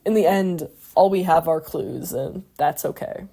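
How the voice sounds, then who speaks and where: A woman's voice: low-pitched, unhurried, vocal fry, dark tone, husky. A woman narrating a scripted video essay in a reflective, analytical way, with the odd casual aside.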